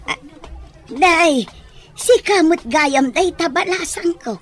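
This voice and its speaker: A woman's voice: very high-pitched, lively intonation, slow, soft background music, full character voice. A Filipino woman playing a chatty, frank older village auntie in an audio drama. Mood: chatty, astonished.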